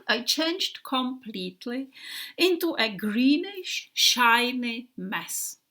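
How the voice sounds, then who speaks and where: A woman's voice: fairly high pitch, bright, forceful tone, heavily acted. A woman voicing an earnest male bomber plane in a storybook, vividly telling his friends about a dream.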